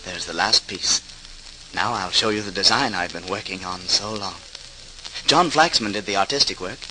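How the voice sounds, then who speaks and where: An actor playing an earnest, idealistic master potter in a 1940s radio drama, speaking in a deliberate, visionary way. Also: male voice, sits low, close to an everyday voice. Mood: quietly proud. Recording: noisy, crackly 1940s radio transcription.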